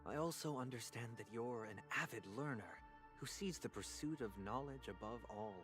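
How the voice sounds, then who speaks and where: A male actor playing a suave, suspicious interrogator in a video game, speaking in a measured, formal, probing way. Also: medium pitch, a bit bright, natural voice. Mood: calmly persuasive. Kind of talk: scripted video game dialogue. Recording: music behind the voice. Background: music.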